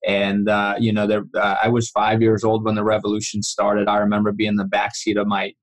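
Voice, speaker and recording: A man's voice: fairly low pitch, monotone, a little fast, poor audio. A man in his forties from Iran, a guest on an interview, earnestly recounting memories and often restarting his sentences. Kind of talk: storytelling interview.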